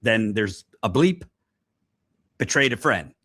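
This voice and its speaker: A man hosting a news commentary show, speaking deliberately and repeating points for emphasis. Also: on the low side, expressive, sharp tone, dry recording, studio quality. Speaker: host, commentator. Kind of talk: news commentary to camera, reading out quotes.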